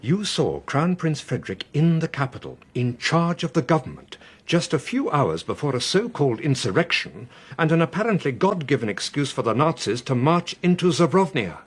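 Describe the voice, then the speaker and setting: An actor playing a British government official in a radio drama, methodical, courteous and authoritative. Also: male voice, on the high side, sing-song, normal speed, hardly any pauses, husky. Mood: grave, certain. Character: measured, probing male British government official.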